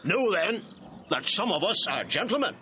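Boisterous outlaw for classical radio drama: hearty, declamatory, bright, forceful and lively. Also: male voice, high voice, normal speed, full character voice. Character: boisterous outlaw, banished gentleman of Verona. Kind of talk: classical Shakespeare audio drama acting. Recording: dull, hissy archive recording.

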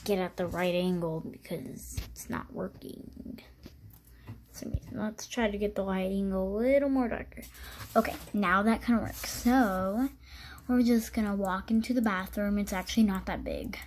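A woman's voice: medium pitch, a little mellow, a little hiss or hum. A teenage girl chatting casually as she shows a game house, rambling with restarts and fillers. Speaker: channel host.

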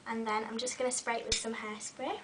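A young woman in her twenties, recovering from a cold and a bit croaky, chatting casually through a hair tutorial in her bedroom. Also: fairly high pitch, level tone, fairly quick, far from the mic, very noisy. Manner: chatty, talks while styling hair, many ums. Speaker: beauty vlogger. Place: small bedroom with fairy lights. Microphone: webcam a little way off.

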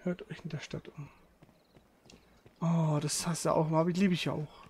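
A man with a high, creaky voice comments casually on a video game as the host. He is blunt and grumbling, swearing freely as he talks. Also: little pitch movement, moderate pace, background noise. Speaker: let's play host.

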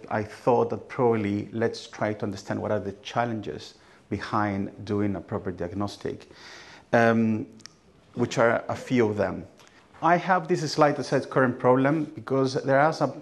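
A middle-aged man with a Chilean Spanish accent presents to a conference audience in a large hall, his speech full of fillers and restarts. His voice sits fairly low. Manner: hesitant, many restarts and fillers. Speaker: academic speaker.

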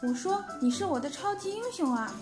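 A woman's voice: very high voice, expressive, a little fast, over loud music. A woman voicing a small, weak, grateful panda in a children's toy cartoon, earnest and admiring. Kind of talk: children's toy animation dubbing.

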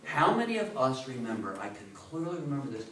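Older man, animated storyteller, informal lecture, repeated phrases, distant mic. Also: mid-range voice, fairly quick. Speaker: speaker giving a history talk. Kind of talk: informal lecture with anecdotes and audience questions. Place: meeting room before a seated audience. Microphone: far camera. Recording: roomy echo, distant camera microphone.

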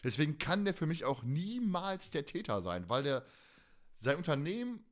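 A man's voice: on the high side, sing-song, normal speed. Colloquial, rambling man hosting a podcast. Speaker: podcast host. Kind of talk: casual chatty fan podcast discussion.

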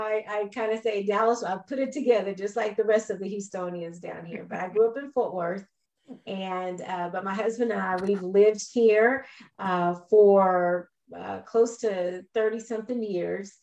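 A middle-aged woman with an American accent talks conversationally as an interview guest. Her voice sits at a medium pitch, and the room echoes clearly around it on the video call. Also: steady tone, normal speed, highs cut off. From Texas, United States. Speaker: guest, church minister. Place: indoors on a video call, virtual background.

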